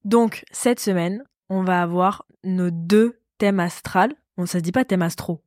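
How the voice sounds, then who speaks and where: A woman's voice: fairly low pitch, unhurried, darkish tone, dry recording, clean studio sound. A woman in her twenties co-hosting a podcast, chatting playfully while losing her voice from a cold. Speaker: podcast co-host. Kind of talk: casual chat.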